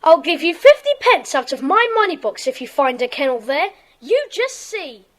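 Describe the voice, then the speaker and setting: A woman playing an excited schoolboy detective, earnest and eager, in a children's audio drama. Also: sits high, moderate pace.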